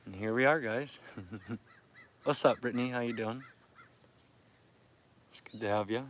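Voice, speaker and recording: A man's voice: mid-range voice, unhurried, a bit bright, a little hiss or hum. Tired Canadian man chatting outdoors. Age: 30s. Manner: chatty, laughs often, keeps voice down. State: tired from little sleep. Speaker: fishing livestreamer. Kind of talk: casual live chat to viewers. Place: outdoors by a lake near a campsite at dawn. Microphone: phone livestream.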